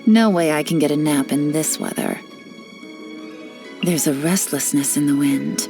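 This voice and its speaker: A cool female spy who talks in a low, unhurried voice and teases with a sly, knowing edge. She sounds relaxed and in control. Faint music sits under the clean studio voice. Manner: teasing, relaxed, lightly sly. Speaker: game character voice lines. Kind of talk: studio game voice-over.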